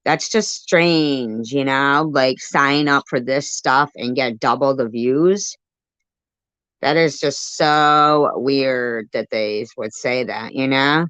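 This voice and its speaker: Very deep-voiced woman, unhurried. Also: a bit bright, clean tone, no room sound. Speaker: live stream host. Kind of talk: casual live stream commentary over played audio. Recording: muffled lo-fi stream audio.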